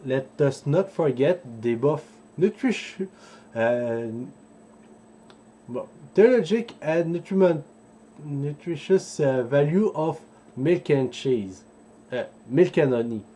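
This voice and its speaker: A man speaks slowly in a mellow, slightly husky voice. He explains history informally, halting to find his words and wandering into asides. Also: on the high side, lively intonation. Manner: halting, searching for words, digressive. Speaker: host of a history video series. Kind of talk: informal explainer with live translation from a book.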